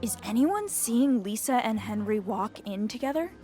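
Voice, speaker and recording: A woman's voice: on the high side, expressive, moderate pace, dark tone, soft background music, natural voice. An actress playing a blunt, nosy, foul-mouthed young woman in a comedy audio drama, talking in casual slang. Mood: blunt, impatient.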